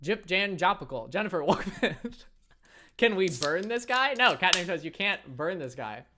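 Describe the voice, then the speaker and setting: A young man with a light, high-pitched voice, chatting casually and playfully with his viewers while he plays a game, laughing often and joking at his own expense. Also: very animated, normal speed, background noise. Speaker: streamer. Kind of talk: casual chat reading viewer messages while gaming.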